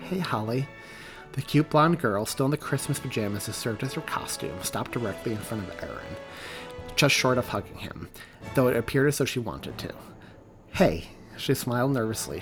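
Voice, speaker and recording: Man narrating his own book, steady even storytelling, mid-pitched voice, faint music behind. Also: normal speed. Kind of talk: audiobook narration.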